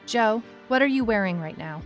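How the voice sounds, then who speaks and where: A woman's voice: on the high side, animated, normal speed, natural voice. A woman playing a wry, anxious detective who narrates her own case in a noir-like audio drama. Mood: urgent, pleading. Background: music.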